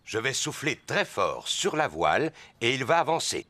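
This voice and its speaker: A man's voice: mid-range voice, very animated, normal speed, bright, forceful tone, a little hiss or hum. An actor voicing a cheerful, hearty father pig in a preschool cartoon dub. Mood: hearty, encouraging. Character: jolly big daddy pig, cheerful father.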